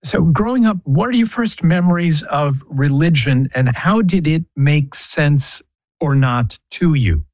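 A man interviewing a guest, asking calm, direct questions about her childhood. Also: fairly high pitch, a little slow, no echo.

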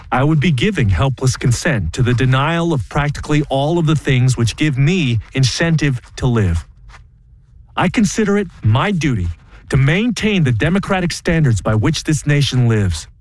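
A principled young student, played by an actor, tells his story in measured, earnest first-person narration. His pitch moves in a lively way, shifting between wry asides and firm resolve. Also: natural voice. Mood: resolute. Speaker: narrator and lead in a one-man play.

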